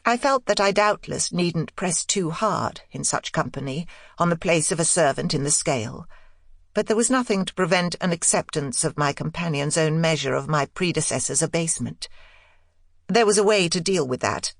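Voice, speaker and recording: A woman narrating a literary audiobook in a measured, dramatic manner. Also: deep voice, expressive, no room sound, studio quality.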